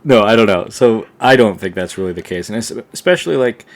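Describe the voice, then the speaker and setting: A young man co-hosting a podcast, talking casually in a relaxed, rambling way with lots of fillers and restarts. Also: on the low side, fast talker, crowd noise. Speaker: podcast co-host. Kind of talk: casual conversation.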